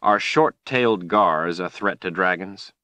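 A man's voice: deep voice, unhurried, pushed and bright, dry recording. Man voicing a scornful, hissing female dragon. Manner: hissing, spitting contempt.

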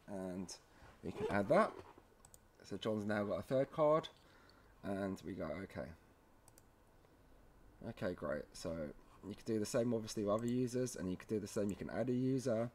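A man presenting a software tutorial in a casual, halting, step-by-step way, often checking in with a short "okay". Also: fairly low pitch. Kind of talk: casual screen-recorded software walkthrough.

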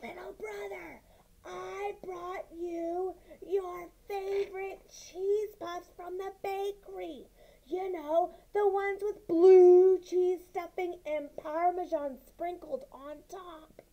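A bold, scheming female mouse sweet-talks in a sugary, whispery tone, as a woman puts her on. Her high voice drawls slowly. It is a storybook telling with a mellow tone. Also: steady tone, poor audio. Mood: coaxing.

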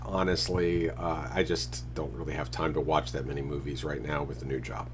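A man in his thirties talking casually to a handheld camera at arm's length, relaxed and rambling. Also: a bass voice, normal speed, noisy background. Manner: relaxed, rambling, self-deprecating. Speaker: vlogger. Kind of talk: casual vlog talk to camera. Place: porch and living room of a house, then dark room.

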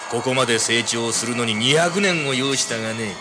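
An actor playing a haughty, centuries-old alien villain with a condescending, formal, menacing manner in an audio drama. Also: male voice, medium pitch, moderate pace, lightly acted. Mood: gloating. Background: prominent dramatic music.

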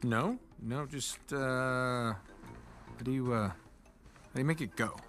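An American actor playing a restless, wisecracking adventurer husband in his thirties, bantering and halting in a naturalistic game cutscene. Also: medium pitch, fairly bright tone, faint music behind. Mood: impatient, fumbling.

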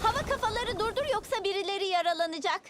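A woman voicing a sharp-tongued, excitable young girl in a Turkish cartoon dub. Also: very high voice, very fast. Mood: bossy.

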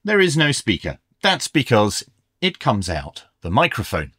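A man's voice: medium pitch, wildly swooping pitch, unhurried, pushed and bright, husky, no room sound, clean studio sound. A middle-aged man presenting a gear review as a video host, in a small indoor workshop. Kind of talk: scripted product review with hands-on commentary.

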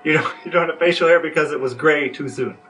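A man's voice: a little high, quick pace, bright, forceful tone, soft background music. Podcast cohost guy, bit far from the mic, asks mock formal questions then chats. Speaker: podcast co-host and interviewer. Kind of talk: casual chat with mock-formal scripted questions.

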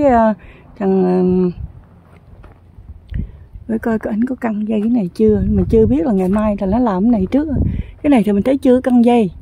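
A woman's voice: a little high, vocal fry, dark tone, poor audio. A woman giving a chatty, rambling walking commentary while touring a construction site outdoors, filming on a handheld phone. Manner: chatty, rambling, many fillers.

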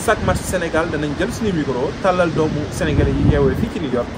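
Brisk man signing off outdoors, fast talker, handheld mic. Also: on the high side. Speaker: street reporter. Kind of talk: sign-off to camera. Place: city street outdoors. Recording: loud traffic noise outdoors.

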